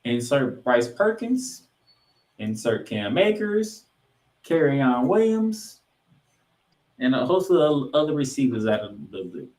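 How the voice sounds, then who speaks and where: A male sports podcast host with a mid-range voice delivers football commentary. His pitch swings widely and he punches key phrases, pausing and repeating for dramatic effect. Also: very animated, darkish tone. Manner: emphatic, dramatic pauses and repetition for effect.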